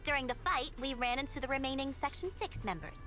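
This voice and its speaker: A woman voicing a brash, teasing young mercenary leader in an anime-style game, with a sassy, expressive delivery. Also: high voice, fast, faint music behind. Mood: explaining.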